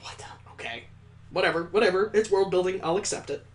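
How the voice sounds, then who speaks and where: A man's voice: high voice, fast. A man hosting a let's play, reading a visual novel aloud and breaking in with sardonic asides.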